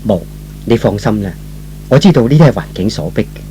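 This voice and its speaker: A man's voice: lowish voice, expressive, a little fast, warm tone, slight background noise, voice pushed down, close to an everyday voice, poor audio. A man playing a devoted, tender young man in love, earnest and sentimental, in an old radio melodrama. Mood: reassuring.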